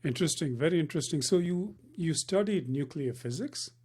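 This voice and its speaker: A man's voice: a little high, expressive, a little fast, a little mellow, slightly rough. A man hosting an interview, chatty and friendly, asking questions with many fillers. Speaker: interview host. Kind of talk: casual interview.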